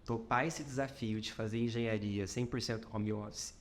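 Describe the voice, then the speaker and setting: A man's voice: fairly low pitch, steady tone, normal speed, slight background noise. Reflective Minas Gerais-accented man. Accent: Minas Gerais Brazilian Portuguese. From Brazil. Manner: reflective, many fillers, talks in short clauses. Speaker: company founder, podcast guest. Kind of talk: conversational podcast interview.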